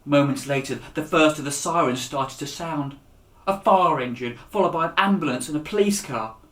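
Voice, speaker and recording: A man reading a children's story aloud as its author, with a dramatic, expressive delivery that rises and drops to a hush. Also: on the high side, moderate pace. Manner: dramatic, with raised voices for dialogue and hushed endings. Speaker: author reading his own children's book. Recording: echoey room.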